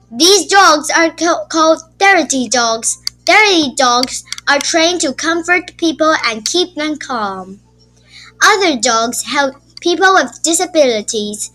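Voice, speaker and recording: A woman reading a children's nonfiction book aloud as host, with deliberate, simple phrasing. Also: high voice, sharp tone, dry recording. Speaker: YouTube read-aloud host.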